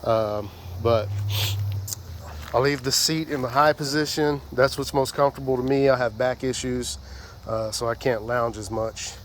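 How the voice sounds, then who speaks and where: A man's voice: medium pitch, fairly even intonation, moderate pace, a little hiss or hum. A man with a congested, stuffy voice, casually walking through his fishing gear outdoors in a rambling, folksy way. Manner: rambling, folksy, many fillers. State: congested from a sinus infection. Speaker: fishing vlogger.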